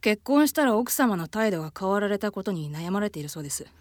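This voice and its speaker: A woman's voice: medium pitch, lively intonation, fast talker, dry recording, close to an everyday voice. A woman voicing a composed, polite female assistant in a voice drama, speaking formally. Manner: formal and deferential. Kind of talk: voice drama acting.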